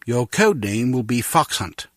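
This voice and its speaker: A man's voice: medium pitch, very animated, a little slow, a bit bright, no echo, studio quality. An actor playing a grave, courteous male intelligence chief with a formal, commanding manner in a video game. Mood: grave, measured.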